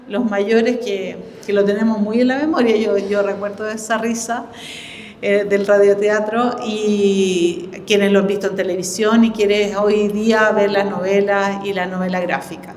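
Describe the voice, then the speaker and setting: A middle-aged woman with a Chilean accent giving an informal welcome speech as a director, halting as she searches for words. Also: medium pitch. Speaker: library director giving an opening address. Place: indoor exhibition event in a library. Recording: echoey hall, reverberant, faint background noise.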